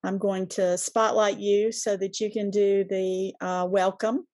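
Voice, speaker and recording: A woman's voice: medium pitch, flat delivery, unhurried, darkish tone, no echo. A woman co-hosting and moderating an online meeting in a casual way over a video call.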